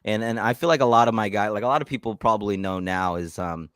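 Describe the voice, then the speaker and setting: A man hosting a casual live stream about his football team, talking in animated bursts and often repeating words as he restarts. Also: on the low side, fast, clean tone, dry recording, clean studio sound. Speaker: YouTube channel host.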